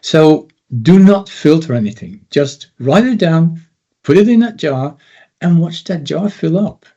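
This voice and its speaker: A man's voice: a little high, expressive, measured pace, warm tone, lo-fi sound. A Dutch man with a Dutch accent, a confidence coach explaining his methods earnestly as an interview guest. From Netherlands. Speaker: confidence and self-esteem coach.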